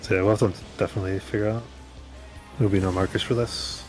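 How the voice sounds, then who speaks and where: A man's voice: on the low side, fairly even intonation, fast. A man talking casually with teammates while playing an online game. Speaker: gamer in a group raid. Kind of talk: casual chat during online gameplay. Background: video game music.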